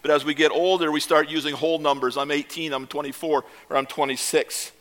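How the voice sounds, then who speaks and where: An older man, a pastor, giving a measured, reflective sermon with occasional hesitations. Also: fairly high pitch, level tone, a bit bright.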